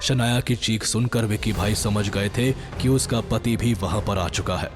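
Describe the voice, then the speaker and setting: A man's voice: lowish voice, unhurried, a little hiss or hum. A man narrating a scripted story in a dramatic storytelling style.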